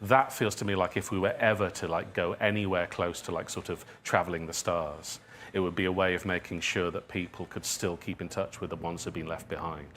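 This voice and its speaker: A man answering audience questions as a writer at a talk, thinking aloud in a halting, self-deprecating way. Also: sits low, steady tone, a bit bright, a little hiss or hum. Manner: reflective, halting, hedging with self-deprecating asides. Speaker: guest speaker, writer and dramatist.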